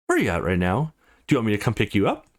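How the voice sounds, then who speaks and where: A man voicing a caring but quick-tempered, worried young woman in a visual novel game. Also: fairly low pitch, huge pitch swings, heavily acted. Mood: concerned.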